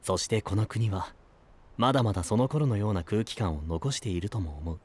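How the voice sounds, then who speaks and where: A man playing a calm, gently serious young knight in an anime-style voice drama, measured and sincere. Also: fairly low pitch. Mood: grave.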